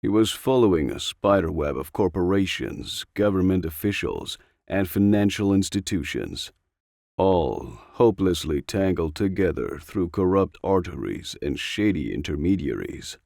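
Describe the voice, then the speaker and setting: A man's voice: sits low, animated, normal speed, creaky, darkish tone, dry recording, clean studio sound. A man reading a story as scripted audiobook narration. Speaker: audiobook reader.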